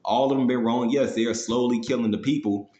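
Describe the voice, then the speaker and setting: Man, preacher, mid-pitched voice, confrontational, profane, slangy, scripture-quoting sermon, echoey room sound. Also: steady tone, brisk, dark tone, dull audio. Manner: slangy, with frequent "man" and "you know" tags. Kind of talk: fiery street-style sermon with scripture read aloud.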